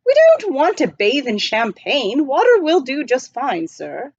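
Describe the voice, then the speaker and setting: A woman voicing a proper, decisive gentleman in a storybook, with a formal, polite and firm manner. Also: high voice, wildly swooping pitch, measured pace, no echo, lo-fi sound, pitched above the performer's own voice, exaggerated voice. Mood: indignant, firm.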